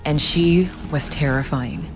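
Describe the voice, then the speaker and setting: A woman narrating a scripted, dramatic solo role-playing story in a solemn, deliberate way with short phrases. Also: sits low. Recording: background music under the voice.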